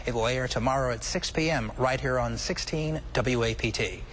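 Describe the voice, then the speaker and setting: A man's voice: medium pitch, moderate pace, fairly bright tone. A man reading scripted local television news as an anchor. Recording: faint background noise.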